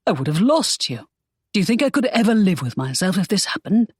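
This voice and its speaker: A woman voicing a protective young male romance hero in an audiobook, speaking calmly and firmly in a lowered voice. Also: breathy edge, no room sound, clean studio sound. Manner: calm, firm, low and measured.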